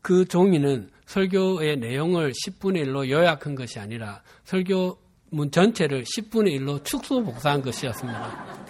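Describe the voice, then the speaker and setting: Man, Busan accent, pastor, sermon, measured earnest storytelling. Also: a little high, darkish tone. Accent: Busan Gyeongsang dialect. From Busan, South Korea.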